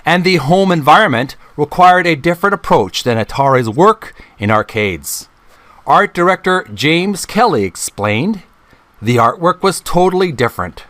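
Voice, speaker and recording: A middle-aged man hosting a channel, reading a book aloud in a deliberate, halting way, phrase by phrase. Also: a little high, lively intonation, a bit bright, no echo. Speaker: video channel host.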